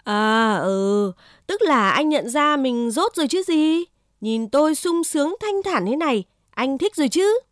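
A woman's voice: high-pitched, expressive, unhurried, no room sound, studio quality. A woman voicing a smug, boastful, idle horse in a cartoon fable. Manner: condescending, boastful.

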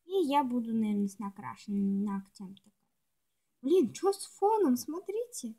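A woman's voice: a little high, expressive, moderate pace, warm tone. A woman video blogger chatting casually and ramblingly to herself and her viewers while showing things, chewing gum as she talks. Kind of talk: casual chatty nail polish show-and-tell.

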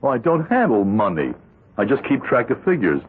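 An actor playing a desperate, cornered accountant, flustered, pleading and halting, in a 1970s radio drama. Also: male voice, mid-range voice, huge pitch swings, slight background noise. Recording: muffled old radio broadcast with music.

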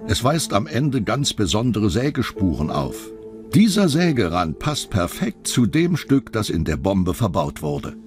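Male documentary narrator, low pitch, animated intonation, scripted true-crime storytelling, music underneath. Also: a little slow. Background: background music.

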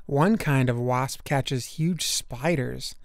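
A man narrating a children's nature book aloud, with deliberate, emphatic storytelling for young listeners. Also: mid-range voice, gravelly, no echo, studio quality.

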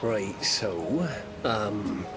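A man's voice: on the low side, lively intonation, very slow. A man chatting casually as a player in a tabletop roleplaying game. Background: background music.

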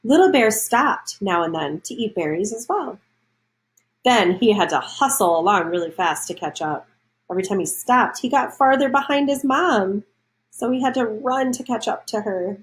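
A woman's voice: mid-range voice, expressive, normal speed. A woman reading a children's storybook aloud, adding explanations and questions for young listeners.

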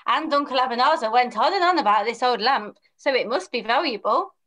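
A woman's voice: fairly high pitch, lively intonation, a little fast, a bit bright. A woman playing a naive, eager young pantomime hero with stagey exclamations, reading a script over a video call. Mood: excited. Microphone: computer mic on video call.

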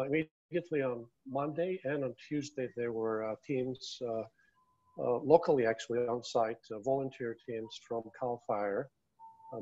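Halting middle-aged man, slightly dull sound. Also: medium pitch, darkish tone. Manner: halting, hesitant delivery. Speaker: observatory superintendent. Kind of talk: giving a factual timeline update. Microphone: earphone mic on a video call. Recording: slightly dull video-call audio.

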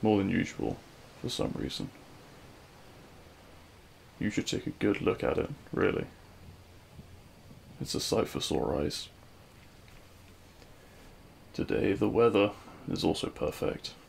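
A man playing a regretful, lovelorn supervillain in an audio roleplay, speaking in a hushed, halting, wistful way. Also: low-pitched, expressive, vocal fry, a little hiss or hum, exaggerated voice. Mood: tender, subdued. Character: regretful lovelorn male supervillain addressing his fallen hero. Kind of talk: scripted ASMR audio roleplay monologue.

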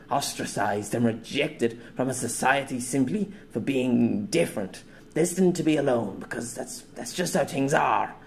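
A man's voice: medium pitch, close to an everyday voice. A man voicing a lonely, reclusive man who pleads while crying and stammering. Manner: desperate, stammering.

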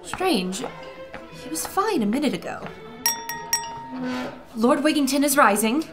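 A woman acts out an inquisitive adventuring reporter in an audio serial. Her high voice moves animatedly as she narrates the action, bold and eager. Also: big pitch swings, measured pace, music in the background, a crowd in the background. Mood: suspicious, curious.

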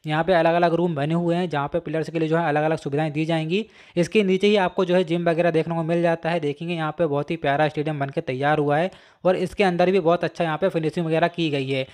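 A man narrates a walking tour of a stadium, talking quickly in a fairly high voice. He chats to his viewers as friends and repeats the same filler phrases, recorded on a phone he holds as he walks. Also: steady tone, a little mellow. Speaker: vlogger. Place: inside and around a stadium, walking. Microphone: phone camera held while walking.

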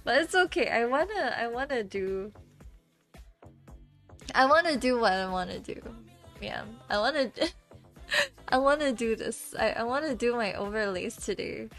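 A tired young woman streamer with a slightly scratchy voice, chatting casually while she draws, soft and halting, stammering and giggling often. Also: on the high side, animated, faint music behind. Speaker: VTuber streamer. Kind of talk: casual livestream chat while drawing.

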